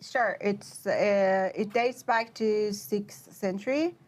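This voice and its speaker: A young woman from Iran, answering interview questions as a guest, with many pauses and fillers. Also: medium pitch. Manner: hesitant, many fillers and restarts. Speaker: PhD researcher, guest.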